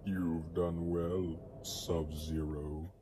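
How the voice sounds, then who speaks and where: An actor playing a sinister, gloating sorcerer in an audio drama, smug and menacingly polite. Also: male voice, low voice, flat delivery, drawn-out words, noisy background. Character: sinister, gloating male sorcerer serving a dark lord.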